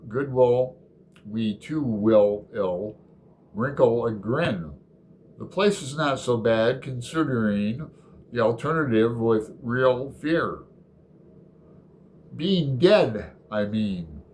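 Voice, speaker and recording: A man with a mid-range voice presents a bookish video at a slow pace. His delivery is informal and halting, unhurried and prone to wander. A light layer of noise sits behind him. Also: mid-range voice, expressive. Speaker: host of a book readalong video. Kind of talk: casual chat with poems read aloud.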